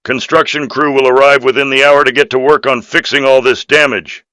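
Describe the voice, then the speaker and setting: A man's voice: medium pitch, fairly even intonation, a little fast, pushed and bright, no room sound, close to an everyday voice. A man voicing an earnest, dutiful police officer in video game dialogue, firm and official in manner. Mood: grave.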